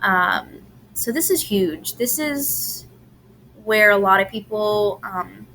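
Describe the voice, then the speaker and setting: A woman delivers a lecture at a very slow, deliberate pace, pausing often with "um" and restarting phrases. Her voice sounds slightly strained from allergies. A faint background noise sits under the recording. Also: medium pitch, expressive, gravelly, pushed and bright. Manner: unhurried, many "um"s and restarts. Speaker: presenter on grief and incarceration.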